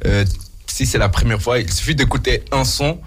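A man's voice: mid-range voice, normal speed, slight background noise. A male radio host leading a casual interview, chatty and repeating himself, often tagging sentences with filler words. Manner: chatty, repeats words, says "tu vois" and "quoi".

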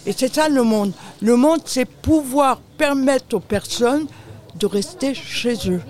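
A woman's voice: sits high, takes it slowly, darkish tone. Emphatic Frenchwoman explaining in an interview. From France. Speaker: aid charity representative, interview guest.

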